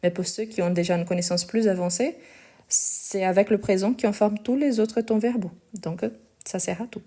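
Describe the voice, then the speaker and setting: A woman teaching a live online French lesson, speaking slowly and carefully, repeating each sentence and spelling out the verb endings. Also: mid-range voice, fairly even intonation, dark tone.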